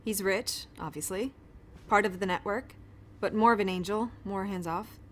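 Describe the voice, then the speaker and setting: Chatty, flustered, good-hearted doctor, played by an actress. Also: female voice, medium pitch, moderate pace, a bit bright, slight background noise, natural voice. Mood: explaining eagerly. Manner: chatty, awkward, self-interrupting. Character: friendly, flustered, apologetic woman pediatrician who shelters fugitives. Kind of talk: naturalistic audio drama dialogue.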